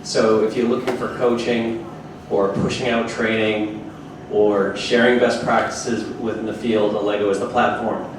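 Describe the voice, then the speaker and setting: A man giving a brisk, friendly on-stage introduction of his company and the evening's speaker. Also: fairly low pitch, level tone. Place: large open office event space with audience. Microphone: handheld mic heard from a distant camera. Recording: echoey room from far off with noise.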